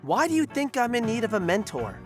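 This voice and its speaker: An actress playing a flustered, prickly crewmate hiding a crush in an audio drama. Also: female voice, fairly high pitch, huge pitch swings, a little fast, loud music behind the voice. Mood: defensive.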